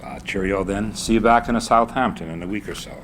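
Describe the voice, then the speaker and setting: An actor playing a polite, breezy, gentlemanly older ship's captain in a screenplay reading. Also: male voice, fairly low pitch, quick pace, close to an everyday voice. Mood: breezy, cordial. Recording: faint background room noise.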